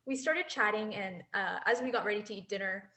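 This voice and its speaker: A woman's voice: medium pitch, brisk, sharp tone, low-quality recording. A woman in her twenties giving an earnest, reflective prepared speech as a former exchange student, laughing lightly now and then. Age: 20s. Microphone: handheld mic.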